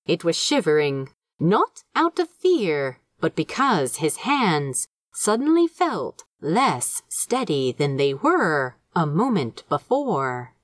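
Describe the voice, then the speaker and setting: Slow, expressive woman narrating fiction. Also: sits low, no room sound, clean studio sound. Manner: measured, expressive. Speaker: fan fiction audiobook narrator. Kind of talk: scripted story narration.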